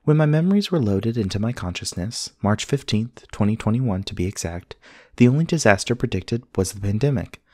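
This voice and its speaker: A man plays a courteous male android companion in an audio roleplay. His voice sits fairly low and is recorded close and dry. He speaks with formal, careful precision, earnest and literal. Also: expressive, warm tone, no room sound. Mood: matter-of-fact. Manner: formal, measured, precise diction. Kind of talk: scripted ASMR roleplay acting.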